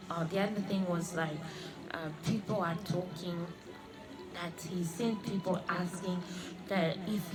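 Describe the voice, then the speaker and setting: Woman, 30s, low-ish voice, earnest halting testimony, clear music bed behind. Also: low-quality recording. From Congo, raised in Australia. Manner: earnest, halting, repeats phrases. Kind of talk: personal religious testimony. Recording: music playing under the voice. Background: clear background music.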